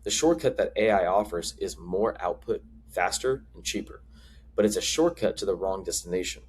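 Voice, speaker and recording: A man with a fairly low voice delivers a scripted business explainer. He speaks deliberately and puts firm stress on key phrases. Some faint background noise sits behind his voice. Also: expressive. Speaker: marketing advisor presenting to camera.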